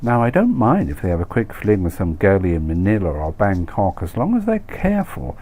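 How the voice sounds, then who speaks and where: A man's voice: fairly low pitch, huge pitch swings, brisk, warm tone. A man voicing a chatty, nosy middle-aged expat wife who dispenses worldly, condescending advice in a comic story reading. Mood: blasé, advising. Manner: gossipy, cliché-laden, condescending advice-giving. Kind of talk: acted dialogue in comic short story reading.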